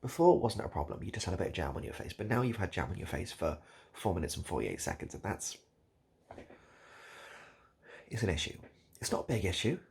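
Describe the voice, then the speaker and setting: A British man doing improvised, absurd comedy straight to the listener in a hesitant, repetitive, deadpan ramble. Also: low voice, fast talker, gravelly, breathy edge. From United Kingdom. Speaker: comedic video host. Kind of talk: improvised absurd comedy roleplay addressed to the viewer.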